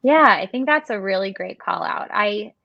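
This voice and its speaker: A woman's voice: mid-range voice, animated, moderate pace, creaky, dry recording, lo-fi sound. A woman hosting a webinar interview, upbeat and affirming as she asks questions over a video call. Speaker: webinar host.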